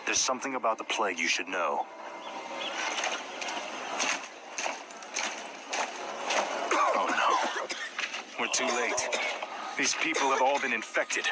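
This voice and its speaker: A man playing a proud, headstrong young prince in a video game cutscene, forceful and imperious. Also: medium pitch, expressive, normal speed, very noisy, lightly acted. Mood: grim urgency. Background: loud music.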